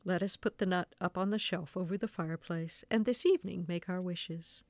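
A woman's voice: fairly low pitch, brisk, no echo, close to an everyday voice. Woman voicing a flustered little old storybook husband. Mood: agreeable. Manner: exclamatory. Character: little old man, hungry, absent-minded, easily flustered husband.

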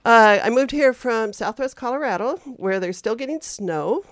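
A woman's voice: on the high side, animated, moderate pace, no echo, studio quality. A woman in her fifties, a chatty, self-deprecating guest on a radio talk show who tends to drift off topic. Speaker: guest, health promotion specialist.

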